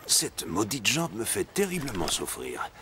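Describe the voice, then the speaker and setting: An actor playing an enigmatic, courteous older gentleman in a French cartoon, formal, measured and ominous. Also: fairly low pitch, wildly swooping pitch, background noise, exaggerated voice. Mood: grave, urgent. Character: enigmatic older gentleman with a cane and a bad leg, grave, courteous. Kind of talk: French animated drama acting.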